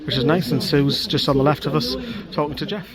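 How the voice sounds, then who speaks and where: A man setting the scene in a chatty vlog update outdoors pitchside in the rain. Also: brisk. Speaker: fan channel vlogger. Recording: outdoor camera mic with loud crowd. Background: crowd noise.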